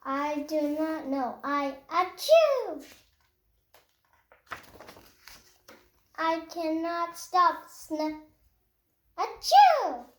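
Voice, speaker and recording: A woman voicing an anxious, dramatic male storybook elephant who talks in halting, sneezy bursts. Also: high-pitched, sing-song, exaggerated voice. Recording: roomy echo, faint background noise.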